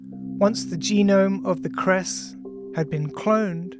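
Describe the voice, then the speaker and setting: Measured audiobook reader over music. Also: mid-range voice. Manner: measured, reads punctuation aloud. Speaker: author reading own audiobook. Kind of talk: audiobook reading of a scientific text. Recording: music behind the voice. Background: ambient music with bell-like tones.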